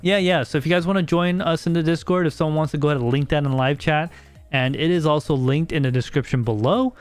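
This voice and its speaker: This is an American man hosting a casual livestream. His voice sits a little high and sounds clean and smooth. He chats loosely, repeating words as he thinks aloud, over faint music in the background. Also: quick pace. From United States. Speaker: podcast livestream host. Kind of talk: casual livestream chat, reading out viewer messages.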